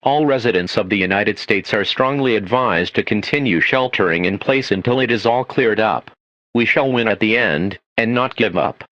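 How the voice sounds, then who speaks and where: A man's voice: on the low side, normal speed, fairly bright tone, no room sound. A man reading a scripted emergency alert message in a formal manner. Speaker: emergency broadcast announcer. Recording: dull, dry lo-fi audio.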